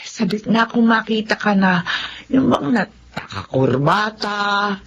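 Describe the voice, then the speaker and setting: A woman's voice: mid-range voice, expressive, creaky, fairly bright tone, exaggerated voice. Elderly Filipino grandmother, radio drama: tender, forgetful, homespun delivery; dull recording with faint noise. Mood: tender, encouraging. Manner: halting, forgetful, folksy. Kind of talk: Tagalog radio drama acting.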